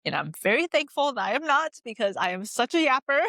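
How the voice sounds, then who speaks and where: A woman's voice: on the high side, sing-song, quick pace, bright, forceful tone, dry recording, studio quality. A woman in her late twenties from Taiwan, hosting a podcast and telling her life story in a chatty, reflective way full of "like" fillers. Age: late 20s. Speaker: podcast host. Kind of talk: casual personal storytelling.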